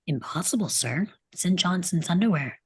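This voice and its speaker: Woman as an insolent teenage boy, low and dry. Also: expressive, normal speed, husky, no room sound. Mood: sardonic. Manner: flippant, defiant. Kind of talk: table read of a film script.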